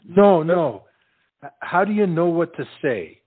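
An actor playing a bashful, slow-witted young soldier in love, halting and earnest, in a stage comedy. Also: male voice, on the high side, animated, phone-quality sound. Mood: flustered, bashful.

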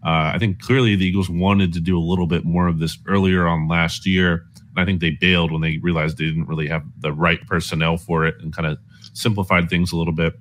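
A man's voice: deep voice, level tone, brisk. A man giving conversational sports analysis as a guest, explaining things in an informal way peppered with "like".

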